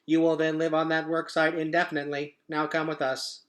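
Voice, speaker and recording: Man playing a robot enforcer, cold, bright forceful tone, clipped commands, children's storybook. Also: fairly high pitch, monotone, a little fast, natural voice. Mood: cold, official. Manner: clipped, repetitive, official commands. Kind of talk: children's book read-aloud.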